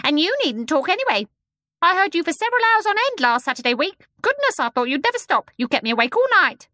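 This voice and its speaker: Woman doing a shrill cheeky starling. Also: big pitch swings, sharp tone, no room sound, clean studio sound, full character voice. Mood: indignant. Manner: rapid, pompous, wheedling. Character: shrill chattering male starling, cheeky and greedy.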